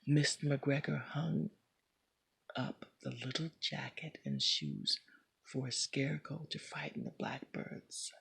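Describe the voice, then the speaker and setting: Very husky, creaky woman storyteller. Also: a bass voice, very animated, slow pace, a bit bright. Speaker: storybook reader. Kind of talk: scripted narration of a children's story.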